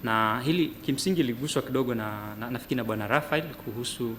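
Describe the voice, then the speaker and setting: Tanzanian male farmer rep, mid pitch, hesitant panel talk, slight background hiss. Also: fairly bright tone. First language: Nyaturu. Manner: measured, hesitant, many fillers. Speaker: farmer representative. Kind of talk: panel remarks mixing Swahili and English.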